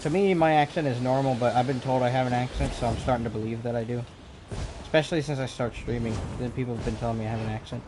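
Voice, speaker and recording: A man from North Carolina with a North Carolinian accent, chatting casually with viewers as he plays and streams a game, softly clipping the ends of his words. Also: medium pitch, fairly even intonation, fast. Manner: chatty, reacts to the game while reading chat aloud; clips the ends of words, soft delivery. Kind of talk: casual livestream chat over gameplay. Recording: noisy stream mic with background noise.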